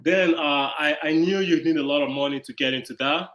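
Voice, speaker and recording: A young man from Nigeria telling his story as an interview guest, in a halting, easygoing way with many pauses and fillers. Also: on the high side, a bit bright.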